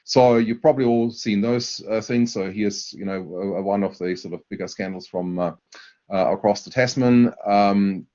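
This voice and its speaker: A man with a German accent presenting a university webinar, talking in a halting way with many fillers and restarts. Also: lowish voice, fairly even intonation, mellow. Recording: dull lo-fi webinar audio.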